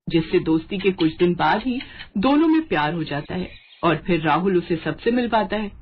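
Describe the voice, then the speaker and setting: A woman narrator reading a scripted family story on dull audio. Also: medium pitch.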